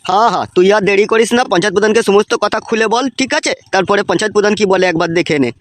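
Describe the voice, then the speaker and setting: A man's voice: sits high, racing, fairly bright tone, a little hiss or hum. A man voicing a cheeky, foul-mouthed village friend in a comic cartoon, with a rural West Bengal accent and an insistent, teasing way of talking. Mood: insistent advising. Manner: crude, swearing, teasing and insistent.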